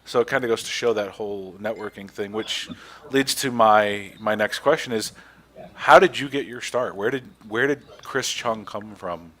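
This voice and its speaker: Low-voiced joking male host. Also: normal speed, fairly bright tone. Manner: joking, rambling questions. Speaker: show host. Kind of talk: casual interview chat.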